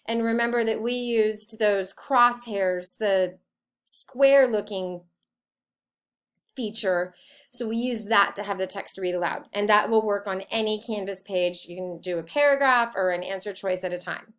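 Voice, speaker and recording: A woman teacher giving an informal, step-by-step software tutorial in an explanatory way. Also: mid-range voice, measured pace.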